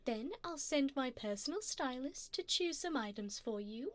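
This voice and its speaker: A woman performs an elegant, moneyed vampire mistress for an ASMR romance roleplay. Her voice sits on the high side and swoops expressively. She coaxes and pampers with refined endearments, indulgent and doting throughout. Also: lively intonation, normal speed. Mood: gently concerned, doting. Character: wealthy, doting older vampire sugar mommy, indulgent and elegant.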